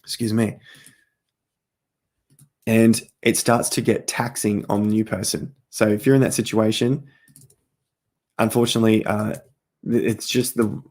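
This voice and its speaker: A man's voice: fairly low pitch, dark tone. A middle-aged man hosting a crypto livestream, walking through charts in a repetitive, emphatic way while he is losing his voice and coughing. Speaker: crypto trading livestream host. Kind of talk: live-stream chart analysis.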